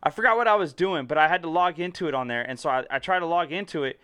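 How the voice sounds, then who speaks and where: A man with a high, bright voice tells a story in casual chat, swearing freely and playing each side of the exchange. His tone is smooth and clear. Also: quick pace. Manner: animated storyteller, swears freely, acts out both sides of a dialogue.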